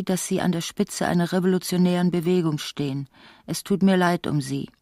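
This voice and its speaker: A woman narrating a literary radio play in a measured, articulate way, voicing its long speeches. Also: on the low side, darkish tone, dry recording, clean studio sound, lightly acted.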